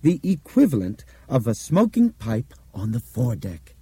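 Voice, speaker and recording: A man voicing a shrewd explainer in an audiobook, speaking with deliberate, theatrical emphasis and authority. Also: mid-range voice, huge pitch swings, dark tone, slightly rough, voice pushed up, heavily acted. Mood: pleased, measured.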